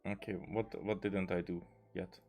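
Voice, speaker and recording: Man, lowish voice, casual gaming commentary, hesitant and halting, thinking aloud, clear music in the background. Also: little pitch movement, darkish tone. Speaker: gaming video creator. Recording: game music behind the voice. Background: video game music.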